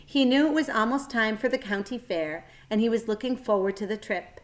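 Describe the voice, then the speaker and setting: A woman reading a children's story aloud like a teacher, with a careful, expressive delivery. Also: fairly high pitch, fairly quick.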